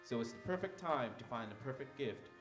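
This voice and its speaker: A man's voice: mid-range voice, lively intonation, fairly quick. A man announcing at a live radio theatre show, reading a scripted sponsor message. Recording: roomy live sound with music behind. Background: music.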